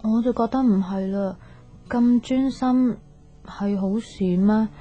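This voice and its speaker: An actress with a Hong Kong Cantonese accent playing a pensive, soft-hearted young woman unsure in love, reflective and earnest in an intimate radio drama. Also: on the high side, steady tone, takes it slowly. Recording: dull radio recording, music underneath.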